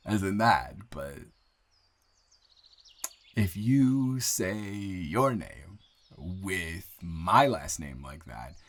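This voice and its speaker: A man playing an earnest, grateful young man shyly confessing love to a friend in an intimate, halting, improvised audio roleplay. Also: deep voice, big pitch swings, full character voice. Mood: flustered, teasing. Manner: halting, rambling, earnest, breaking into soft laughs.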